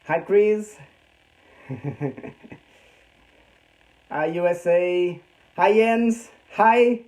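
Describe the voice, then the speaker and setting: Portuguese guy in his 30s giving a chill phone tour, lots of uhs, a bit high but mellow voice. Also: drawn-out words. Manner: hesitant, many fillers and restarts, chuckles often. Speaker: host showing his home print workshop. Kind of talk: casual live-stream tour. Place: small home machine room.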